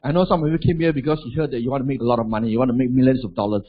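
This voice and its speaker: A man's voice: medium pitch, steady tone, racing, phone-quality sound. A man in his forties with a Malaysian English accent, giving a chatty seminar talk and throwing rhetorical questions at the audience. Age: 40s. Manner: chatty, asks the crowd rhetorical questions, adds "lah". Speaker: motivational business speaker.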